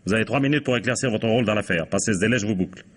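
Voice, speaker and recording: A sardonic male police inspector played by an actor in a radio crime drama. His voice sits low, and he gives orders brusquely, with dry, authoritative irony. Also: racing, lightly acted. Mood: stern, ironic.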